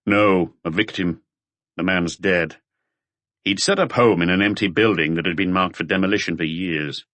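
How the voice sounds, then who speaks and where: A man voicing a brooding middle-aged police detective in an audiobook, measured and matter-of-fact. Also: deep voice, a bit bright, no echo.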